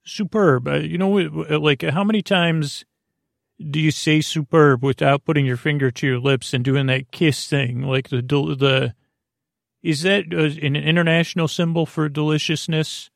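A man with a creaky voice hosting a bedtime podcast, rambling in a halting, goofy, digressive way. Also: fairly high pitch, steady tone, no echo, clean studio sound. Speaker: podcast host.

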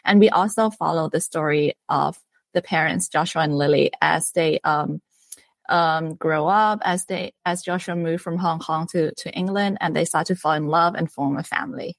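A young woman from Thailand, a guest author, speaks in a fairly low voice. Her talk is chatty and friendly, peppered with fillers. The sound is close and dry, with no room in it. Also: moderate pace, creaky, no echo. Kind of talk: casual interview chat.

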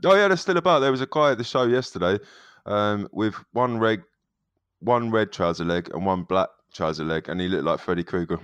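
A man co-presents a football podcast in a very low, smooth, resonant voice. His manner is dry and wry. The sound is close and dry. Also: expressive, fairly quick, a bit bright, no echo. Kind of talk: casual football podcast chat.

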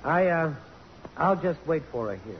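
A man's voice: fairly high pitch, big pitch swings, normal speed. An actor playing an easygoing, polite magazine man in a 1950s radio drama. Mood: polite, casual. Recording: dull old broadcast, loud crowd noise.

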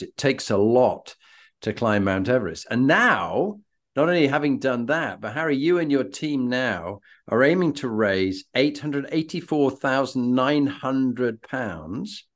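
A man with a British accent hosting a conversational interview, telling anecdotes in an effusive, emphatic way. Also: lowish voice. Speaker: interview host.

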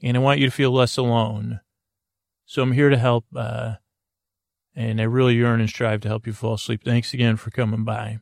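A man hosting a bedtime podcast, telling a meandering, halting story full of restarts and fillers to help listeners fall asleep. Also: mid-range voice, level tone, vocal fry, darkish tone, no echo. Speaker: podcast host. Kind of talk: rambling bedtime story to put listeners to sleep.

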